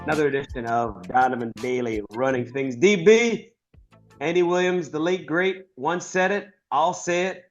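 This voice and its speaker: A man hosting a sports podcast with energetic, hype-announcer flair. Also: a little high, normal speed, lo-fi sound, faint music behind. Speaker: podcast host. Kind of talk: upbeat show hosting.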